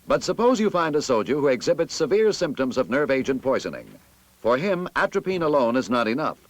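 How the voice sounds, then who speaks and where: American male narrator for vintage military training film: authoritative, clearly enunciated, mid-pitched with lively intonation. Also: a little fast, rough recording.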